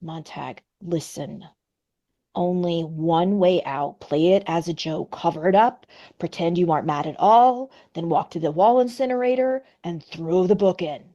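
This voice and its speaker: A quiet, urgent male voice giving hushed instructions. A woman voices him in a storybook reading. He speaks low and steady. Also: a little slow, lo-fi sound, lightly acted.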